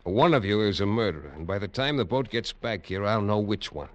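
A man playing a deep-voiced hard-boiled detective. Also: expressive, fairly quick, no room sound, close to an everyday voice. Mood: threatening. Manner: clipped, hard-boiled narration. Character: tough American detective narrator. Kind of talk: 1950s radio drama acting. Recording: dull old radio recording.

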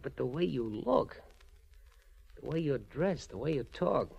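Through a lo-fi vintage radio recording comes a tough, wary American racket boss. He talks in clipped gangster slang with a lively rise and fall. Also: mid-range voice, unhurried, creaky, husky, full character voice. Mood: wary, impressed. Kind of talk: 1940s radio crime drama acting. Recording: muffled old 1940s radio recording.

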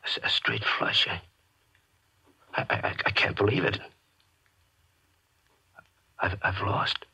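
An American man acting in a 1940s radio drama as a bitter small-time gambler, telling his story in a tense, deliberate, dramatic way. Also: bright, forceful tone. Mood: shocked. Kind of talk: 1940s radio drama acting, first-person suspense narration. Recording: muffled old radio recording. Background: dramatic music.

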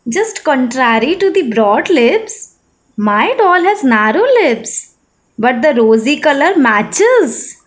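A woman voicing a cheerful, excited elder sister, a young girl playing with dolls in a children's story, with a bubbly sing-song delivery. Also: sits high, slow pace, full character voice.

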